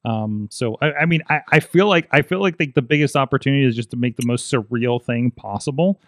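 A man's voice: medium pitch, a little fast, dry recording. A man in his forties hosting a tech podcast, chatting casually with lots of fillers and restarts into a studio mic. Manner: rambling, many fillers and restarts. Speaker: podcast host. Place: small studio with foam panels. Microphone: studio mic on boom arm, headphones.

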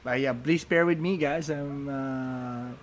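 A man from the Philippines, speaking as a non-native speaker, talks casually as a podcast guest, halting with many restarts and fillers. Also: medium pitch, a little hiss or hum. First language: Filipino languages. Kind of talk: casual chat.

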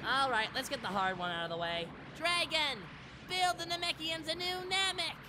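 A man's voice: extremely high pitch, normal speed, heavy background noise. A man voicing a blunt, awkward alien fighter in a parody anime dub. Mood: impatient.

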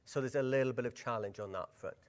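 A middle-aged man with a Welsh accent running a workshop at a conference, lively and engaging, putting questions to the audience. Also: mid-range voice, fast talker. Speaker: workshop presenter. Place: large conference hall. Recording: long hall reverb.

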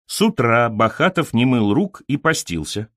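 A man reading literary fiction as an audiobook narrator, in an expressive, carefully scripted storytelling delivery. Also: medium pitch, moderate pace, no room sound, studio quality.

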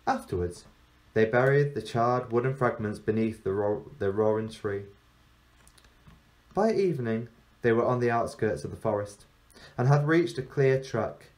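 A man reading a story aloud like a teacher, in measured phrases with deliberate pauses. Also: medium pitch, darkish tone. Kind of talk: storybook narration.

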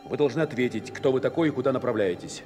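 An actor playing a stern Red Army commander in a radio play, curt and authoritative. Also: male voice, medium pitch, lively intonation, fast talker, darkish tone, faint music behind, natural voice. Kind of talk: Soviet radio play acting.

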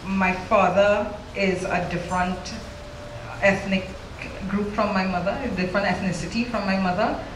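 A woman's voice: mid-range voice, fairly even intonation, normal speed. A middle-aged woman from Trinidad with a Trinidadian accent, an author speaking candidly into a handheld mic before a large audience, often ending lines with "right?". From Trinidad and Tobago. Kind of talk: live onstage conversation. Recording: roomy hall with faint noise.

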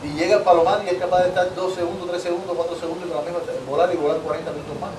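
A Cuban man in his thirties sits outdoors on a patio, talking emphatically and often restarting his phrases. His voice sits a little high. He is picked up from a distance by the camera, with an echoey sound and clear background noise. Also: flat delivery, racing. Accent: Cuban Spanish. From Cuba. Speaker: pigeon breeder guest. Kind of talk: casual interview. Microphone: far camera.

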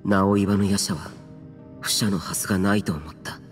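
A man's voice: low-pitched, fairly even intonation, brisk, close to an everyday voice. A man acting in a Japanese game as a stern, aloof, youthful immortal warrior who speaks tersely and solemnly in archaic words. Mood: solemn, resolute. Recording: long reverb, faint music behind.

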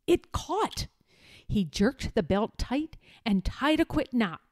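A woman reading a children's story aloud in a deliberate, expressive, dramatic style. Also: a little high, no echo, clean studio sound. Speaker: storybook reader.

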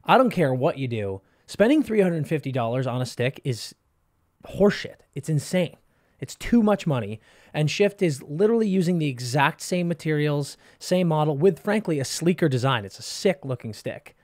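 A man in his thirties co-hosting a hockey podcast, reading a sponsor spot and chatting in an emphatic, pumped-up way on a studio boom mic. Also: a little mellow, dry recording. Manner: hyped, emphatic pitchman delivery. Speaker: podcast co-host. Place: studio with brick and wood walls. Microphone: boom-arm podcast mic.

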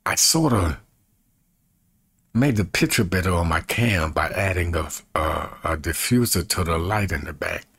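A man in his fifties with a husky voice, chatting casually to camera in a folksy way and repeating himself as he demonstrates. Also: deep voice, expressive, measured pace, a bit bright, dry recording, clean studio sound. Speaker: evangelist and video creator. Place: small bedroom, indoors.

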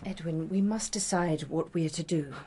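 An actress playing a practical, reproachful young English wife in a period radio drama, measured and formal. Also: female voice, sits low, warm tone, slightly rough. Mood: cool, firm.

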